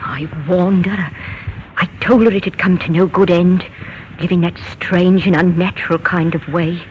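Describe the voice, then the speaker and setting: A woman's voice: lowish voice, fairly even intonation, normal speed, natural voice. A woman playing a bitter, grieving, defensive mother in an old-time radio drama, on a dull, noisy recording. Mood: curt, bitter.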